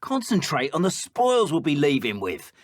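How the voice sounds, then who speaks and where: An actor voicing a menacing, petty and cruel British dark wizard henchman in a video game, with a theatrical, taunting delivery. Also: mid-range voice, huge pitch swings, moderate pace, no echo, clean studio sound. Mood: cold. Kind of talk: video game enemy voice lines.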